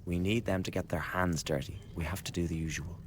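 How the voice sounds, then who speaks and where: An actor playing a glib, scheming young revolutionary in a radio drama, chatty and insinuating with a blackly flippant manner. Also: male voice, deep voice, steady tone, fairly quick, slight background noise, natural voice. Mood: conspiratorial, coaxing. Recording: studio radio drama with background ambience.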